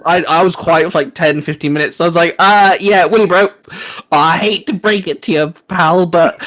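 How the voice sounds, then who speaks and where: A man with a slightly high voice talks casually as a geek-show co-host. He tells things in an animated, slangy way, padding sentences with fillers as he explains his favorite subjects. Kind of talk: casual fan chat.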